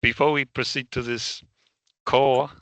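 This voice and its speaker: A man's voice: medium pitch, flat delivery, measured pace, fairly bright tone, no echo. A man introducing the interview formally and hesitantly, handing questions to a colleague. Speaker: interview co-host.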